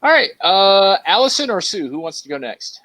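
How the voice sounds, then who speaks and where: A man with a high, lively voice hosts a casual panel over video call. His bantering speech swings animatedly in pitch. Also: moderate pace. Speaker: panel host.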